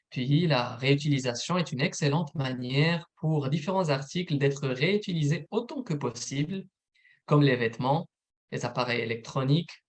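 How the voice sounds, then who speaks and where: A man, a program manager, presenting a recorded informational webinar in a steady, methodical read. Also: medium pitch.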